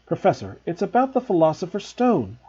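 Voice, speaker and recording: A determined young schoolboy, urgent and earnest, as a man reads him in his own voice, a little raised. The delivery swings lively in pitch over a husky tone, and the sound is dull and lo-fi. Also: brisk, heavily acted.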